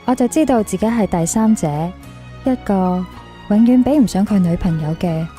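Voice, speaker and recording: A woman's voice: mid-range voice, normal speed, close to an everyday voice. A woman playing an insecure, lovelorn young woman in a radio drama, plaintive and questioning, with a Hong Kong Cantonese accent. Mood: wistful. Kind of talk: radio drama acting with confessional narration. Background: music under the voice.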